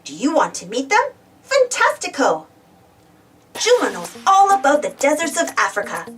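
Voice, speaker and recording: A woman reading a storybook aloud to children in an expressive, exclamatory way, putting questions to her young listeners. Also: high voice, normal speed, faint music behind. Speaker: storybook reader. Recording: distant from the mic.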